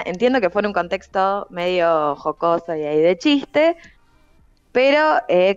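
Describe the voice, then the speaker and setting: Smooth-voiced Argentine woman columnist. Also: mid-range voice, no echo. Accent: Argentine Spanish. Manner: chatty, conversational. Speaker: trends columnist. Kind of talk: radio segment chat. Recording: dull dry studio sound.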